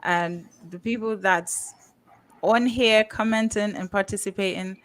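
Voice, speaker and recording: A woman's voice: medium pitch, steady tone, measured pace. A woman with a Caribbean accent hosting a casual livestream talk show, chatty, with frequent "um"s and laughs. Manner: chatty, laughs often, uses fillers like "um" and "you know". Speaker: podcast host.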